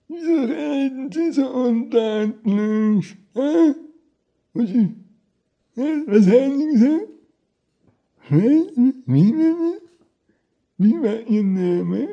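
A man's voice: very high-pitched, vocal fry, mellow, lo-fi sound, heavily acted. A man playing a confused, dreamy caller who cannot hear, puzzled and repetitive, in an absurd comic telephone sketch.

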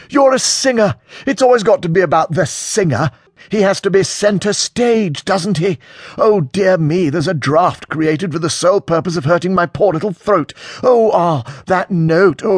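A man's voice: on the high side, sing-song, a little slow, slightly rough, dry recording, studio quality, pitched above the performer's own voice, full character voice. A man voicing a bitter, hurt, quarrelsome male character in an audiobook, theatrical and cutting. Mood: bitter mockery. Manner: hysterical, cutting, mock-dramatic.

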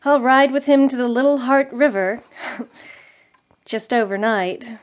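A woman playing a devoted, wistful officer's wife in a radio drama, gentle and composed. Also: fairly high pitch, a little slow, close to an everyday voice.

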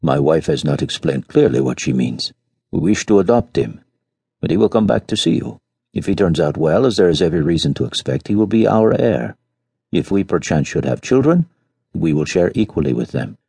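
A man voicing a grave, deliberate gentleman making a formal offer, in a story reading. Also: sits low, big pitch swings, creaky, breathy edge, no room sound, clean studio sound, full character voice. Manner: measured, formal.

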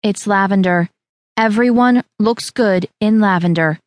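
A woman voicing a blunt, quick-tempered young bride who speaks curtly and snappishly. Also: mid-range voice, dry recording, lightly acted. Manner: curt, snappish.

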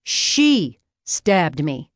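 A woman's voice: sits low, big pitch swings, very slow, no room sound, studio quality, full character voice. Woman voicing a pompous, whiny, indignant wounded businessman. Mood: outraged accusing. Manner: groaning, sputtering, indignant.

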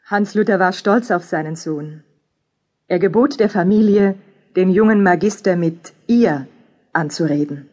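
A woman's voice: lowish voice, measured pace, dark tone. A woman reading scripted historical documentary narration.

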